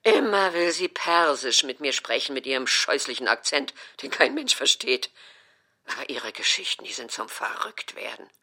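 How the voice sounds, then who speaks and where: A woman's voice: extremely low pitch, animated, normal speed, sharp tone, exaggerated voice. An actress playing a stubborn, easily offended older mother who complains dramatically in an audio drama. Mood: scornful. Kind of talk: acted German audio drama dialogue.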